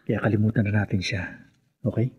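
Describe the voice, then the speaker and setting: A man's voice: lowish voice, steady tone, fairly quick, darkish tone, muffled recording, a little hiss or hum, close to an everyday voice. A man playing a reassuring, protective young sweetheart, earnest and coaxing, in a radio drama.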